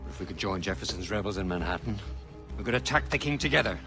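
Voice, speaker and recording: An actor playing a stern, embittered colonial rebel leader in a video game, commanding and emphatic. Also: male voice, low-pitched, animated, quick pace, over music. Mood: urgent, scheming. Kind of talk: dramatic video game acting.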